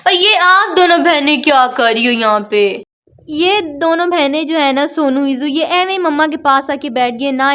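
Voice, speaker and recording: Bright high-voiced woman vlogging. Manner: chatty, affectionate, talks to her cats. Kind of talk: casual vlog narration.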